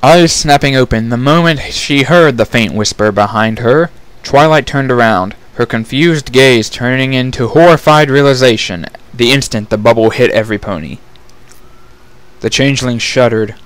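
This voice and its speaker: A man's voice: mid-range voice, moderate pace, clean tone. A man narrating a fantasy story as a scripted dramatic reading.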